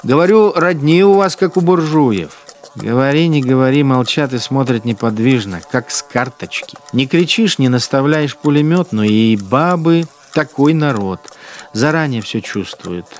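A man's voice: medium pitch, animated, normal speed, close to an everyday voice. An actor playing a cruel, mocking collaborator policeman in a radio drama, speaking his inner monologue as he toys with his victims. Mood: sneering, brooding. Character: cruel, sneering wartime collaborator policeman with machine gun. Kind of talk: dramatic first-person interior monologue.

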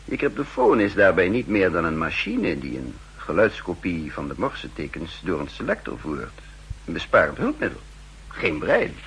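Wry aging spymaster, humming old radio. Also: male voice, low-pitched, wildly swooping pitch, normal speed, vocal fry, a bit bright, exaggerated voice. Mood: condescending. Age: older. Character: aging spy chief and cryptographer, wry, secretly scheming. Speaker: narrator and lead character. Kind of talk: 1960s radio drama acting with first-person narration. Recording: humming, noisy 1960s radio recording.

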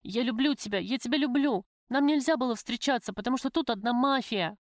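A woman's voice: animated, brisk, no echo, clean studio sound, close to an everyday voice. A woman voicing a desperate, bitter young woman in prison, emotional and pleading, in an audiobook. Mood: despairing. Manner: emotional, pleading, high-strung.

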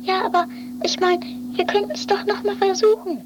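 A woman plays a childlike, stubborn daredevil with a piping, extremely high voice. The figure is eager and whiny, insisting over and over like someone who will not be refused. It sounds like an improvised eighties radio play, with clear noise on the recording. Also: steady tone, brisk, muffled recording. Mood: pleading. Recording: noisy amateur 1980s radio play recording.

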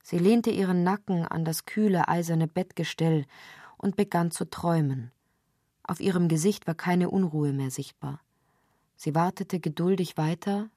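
A woman with a dark, husky voice narrates literary fiction. Her delivery is deliberate and measured, and the sound is very dry, as in a padded studio. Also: lowish voice, no echo. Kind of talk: literary scripted narration, radio reading.

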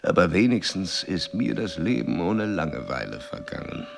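An actor in a radio play voicing an aged, solitary, disillusioned scholar who speaks in a deliberate, oratorical way with weighty pauses. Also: male voice, sits low, big pitch swings, gravelly, very noisy, exaggerated voice. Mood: weary, resigned. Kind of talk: German radio play acting. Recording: long hall reverb, music behind.

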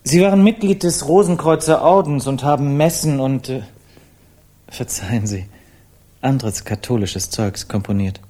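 A man plays a polite Parisian man of the arts in a radio play. His tone is dark and relaxed. He speaks formally and deliberately, in a matter-of-fact manner. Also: mid-range voice, close to an everyday voice. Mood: polite recounting. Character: polite, measured Parisian artist recounting a friend's past. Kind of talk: German radio play acting.

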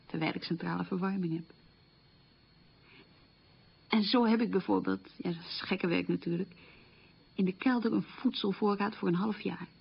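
Tired frank middle-aged teacher mum, husky and creaky, chatty confession, radio play. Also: female voice, medium pitch, normal speed, pauses often, highs cut off. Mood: confiding. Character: opinionated, weary schoolteacher mother marked by the war. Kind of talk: radio drama monologue, conversational confession.

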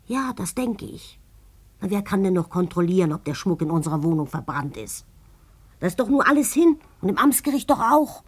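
An actress playing an anxious, bitter wife in a German radio play, husky and insistently reproachful. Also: female voice, fairly low pitch, big pitch swings, normal speed. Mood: bitter, urging.

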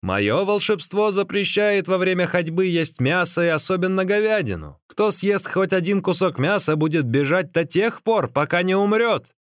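A sly sorcerer from a storybook, played by a male reader, teasing and scolding with feigned alarm. His voice is raised high and swoops expressively, recorded dry. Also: very animated, normal speed, hardly any pauses, dry recording. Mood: mock solemn warning. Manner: mock-earnest, scolding and teasing.

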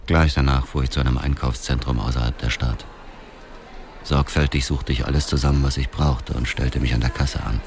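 Very deep-voiced, bitter avenging widower. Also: male voice, fairly even intonation, brisk, slight background noise. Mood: brooding. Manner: brooding, controlled, menacing. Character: cold, bitter widower bent on revenge, middle-aged man. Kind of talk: radio drama narration and dialogue.

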